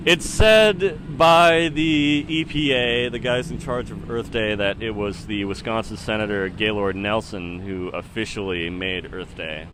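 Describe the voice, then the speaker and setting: A man's voice: fairly low pitch, measured pace, hardly any pauses, background noise. A man in his thirties giving casual, rambling spoken commentary in a hesitant, musing way that often trails off. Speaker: solo podcast host.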